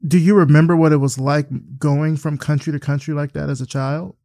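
A man's voice: fairly high pitch, fairly even intonation, brisk, warm tone, dry recording, clean studio sound. A man hosting a podcast, asking questions in a casual, conversational interviewing style.

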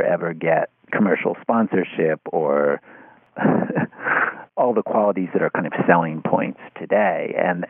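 A deep-voiced man with a husky, creaky tone speaks as an interview guest over a thin phone line. He is conversational and halting, often doubling back on words as he works out his thought. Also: dry recording. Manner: hesitant, repeats words and hedges as he thinks aloud.

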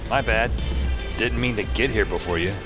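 An actor playing a wry, tipsy, hard-boiled antihero who narrates an audio drama with sardonic, muttered asides. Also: male voice, lowish voice, normal speed, lightly acted. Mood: sardonic, uneasy. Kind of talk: first-person noir narration and dialogue, audio fiction. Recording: music behind the voice.

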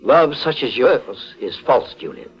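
An actor playing a calm, courteous but stern private investigator, formal and authoritative, in a 1940s radio detective drama. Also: male voice, mid-range voice, measured pace, slightly rough, heavily acted. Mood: accusing, stern. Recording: muffled 1940s radio recording.

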